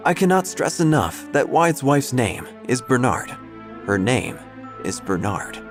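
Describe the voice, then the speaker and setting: A man playing a wordy, inquisitive obituary writer, narrating a comic mystery in a precise, deadpan, theatrical way. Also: mid-range voice, a little slow, over music. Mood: deadpan, suspenseful. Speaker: narrator and lead character.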